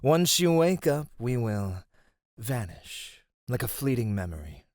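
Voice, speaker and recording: A man playing a gentle, flirty immortal god of radiance in an ASMR roleplay, speaking softly and formally. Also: medium pitch, very animated, measured pace, no echo, clean studio sound. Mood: soothing, tender. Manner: soft, formal, tender speech.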